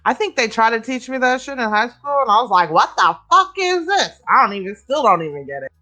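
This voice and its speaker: A woman's voice: a little high, sing-song, brisk, sharp tone. A woman co-hosting a podcast, chatting casually and teasing with crude jokes. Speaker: podcast co-host. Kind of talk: casual chat.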